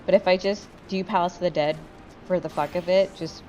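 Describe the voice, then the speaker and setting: A woman's voice: on the low side, steady tone, brisk, mellow, highs cut off, slight background noise. A sleepy woman chatting casually and candidly with friends over gameplay, swearing freely as she goes. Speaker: gamer commentating with friends. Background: game music.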